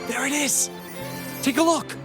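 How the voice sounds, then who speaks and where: An actor playing a flamboyant, excitable male filmmaker in a video game, speaking with florid, courteous grandeur. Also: very high voice, unhurried, music in the background. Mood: excited. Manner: florid, theatrical, effusive. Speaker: film studio head, non-player character. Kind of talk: theatrical game voice acting.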